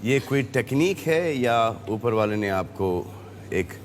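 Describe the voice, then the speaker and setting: A man hosting a talk show, bantering playfully with his guests and teasing them between quick interjections. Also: medium pitch, animated, background noise. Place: television studio with audience.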